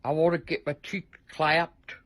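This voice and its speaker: A woman's voice: low voice, flat delivery, unhurried. An older woman in a casual, profane rant, complaining bluntly and repeating herself. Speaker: person in a viral clip.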